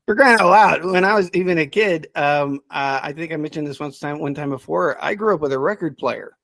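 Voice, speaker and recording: A middle-aged man chatting casually as a podcast's subject expert, thinking aloud in a halting way with repeated words. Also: a little high, vocal fry, fairly bright tone, no room sound. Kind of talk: casual chat.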